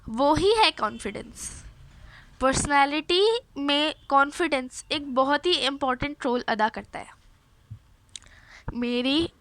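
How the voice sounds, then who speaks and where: A young woman with a cold and a hoarse voice, hosting a casual self-help video and chatting to viewers, often restarting her phrases. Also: sits high, sharp tone, no room sound. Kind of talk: casual advice talk to camera.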